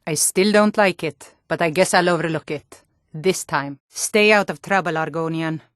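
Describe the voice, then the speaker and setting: A woman's voice: sits low, moderate pace, no echo, studio quality, natural voice. A woman voicing a tough, blunt female Nord warrior guard in a fantasy video game, stern, taunting and combative. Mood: accusing, then gruff, watchful.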